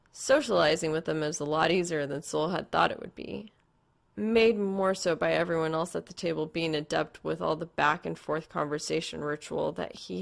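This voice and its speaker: Creaky, low-voiced woman narrating a story. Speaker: fan fiction reader.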